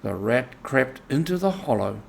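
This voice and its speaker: A man reading a classic children's story aloud as scripted narration, in a measured, deliberate storytelling manner. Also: mid-range voice, lively intonation, vocal fry. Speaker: audiobook reader.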